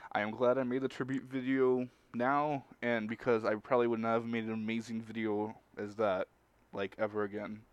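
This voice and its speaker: A man's voice: medium pitch, little pitch movement, moderate pace, clear, resonant tone, no room sound. A man co-hosts a loose group conversation in a mid-range voice. He rambles and halts as he finds his words, and the sound is dry, with little room to it. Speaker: podcast co-host. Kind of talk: casual group chat.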